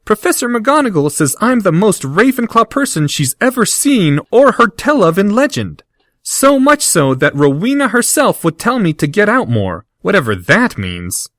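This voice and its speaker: A young schoolboy pours out flowery, exaggerated flattery in a voice pitched far up, as a man puts him on. The voice swings widely and sounds close and dry, with no room around it. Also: dry recording. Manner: over-the-top flattery in a high voice. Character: young boy, mock-groveling, theatrically flowery.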